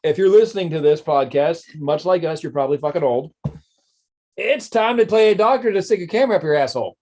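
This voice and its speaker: A man's voice: a little high, animated, fast talker, darkish tone. A man in his forties co-hosting a podcast, blunt and sarcastic, swearing often in casual banter. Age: 40s. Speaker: podcast co-host.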